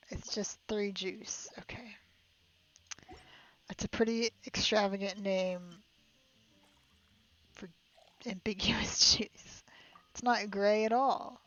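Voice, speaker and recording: A woman's voice: mid-range voice, a bit bright, dull audio. A woman doing casual live commentary on a game, playful and full of wry asides. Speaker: game streamer. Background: faint game music.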